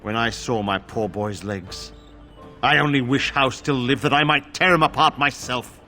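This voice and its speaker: A man's voice: mid-range voice, normal speed, bright, forceful tone, faint music behind, close to an everyday voice. A middle-aged man playing a grateful, stern noble father in a fantasy game, speaking formally and solemnly. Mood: grave, grateful. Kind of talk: dramatic fantasy game acting.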